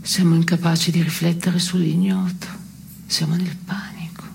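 An actress playing a blunt, disenchanted daughter in an absurdist radio stage play, with terse, deadpan delivery. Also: female voice, fairly low pitch, normal speed, creaky, slight background noise. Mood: dry, mock solemn. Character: blunt, disenchanted daughter recounting family indignities.

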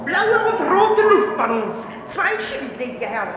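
An actress playing a street balloon seller calling out in a 1950s German radio play. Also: female voice, very high-pitched, big pitch swings, normal speed. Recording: very echoey, muffled, noisy old radio recording.

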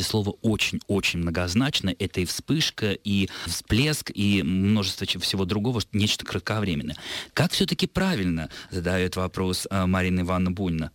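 A low-pitched man speaks in a radio studio, answering listener questions about word usage. He explains in a measured, thoughtful way, pausing with small hesitations as he works through his points. The sound is dry and close, with no room echo. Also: a bit bright. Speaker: language expert answering listener letters. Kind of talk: radio talk on Russian usage.

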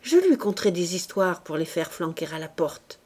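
A woman's voice: on the low side, very animated, moderate pace, a little mellow. A woman doing a sly, scheming man. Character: coarse, scheming middle-aged man, drinking.

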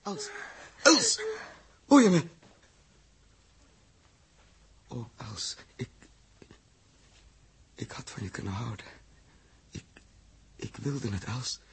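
An actor playing an anguished, commanding space crew captain in a 1960s radio drama, speaking with urgent emotion. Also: male voice, medium pitch, huge pitch swings, creaky, slightly rough, full character voice. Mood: desperate. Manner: urgent, emotional, halting repetitions. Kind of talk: 1960s Dutch radio play acting. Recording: noisy old 1960s radio recording.

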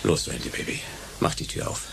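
An actor playing a tough, sardonic private detective in a noir sci-fi radio drama, deadpan and laconic. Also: male voice, fairly quick, background noise, close to an everyday voice. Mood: sardonic menace. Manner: deadpan, laconic, clipped sentences. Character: hard-boiled male private detective, tough, sardonic, cool-headed. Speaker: lead actor and first-person narrator in a radio play. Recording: music behind the voice.

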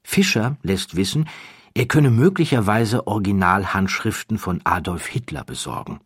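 Deep, husky male narrator. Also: expressive, normal speed, no echo, studio quality. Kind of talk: scripted documentary storytelling narration.

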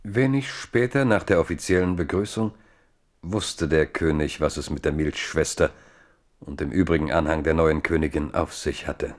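A narrator with a very low voice tells a historical tale for radio. His delivery is measured and literary, with dry irony. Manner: measured, dryly ironic. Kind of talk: scripted radio play narration.

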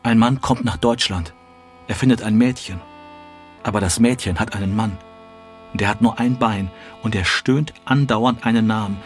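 A man giving a dramatic reading of literary prose in short, deliberate phrases. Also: lowish voice, soft background music. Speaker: literary reader.

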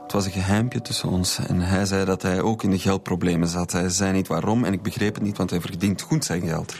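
Troubled student son under questioning: Flemish, deep creaky voice, hesitant, defensive, radio drama. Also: male voice, steady tone, brisk, darkish tone, a little hiss or hum. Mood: confessing, anxious. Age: young adult. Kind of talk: naturalistic radio drama dialogue.

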